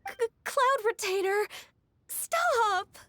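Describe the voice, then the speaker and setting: A woman playing a polite, dutiful young female disciple in a video game, speaking courteously and gently. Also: very high-pitched, animated, very slow, no room sound, heavily acted. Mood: embarrassed. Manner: courteous, soft-spoken, formal.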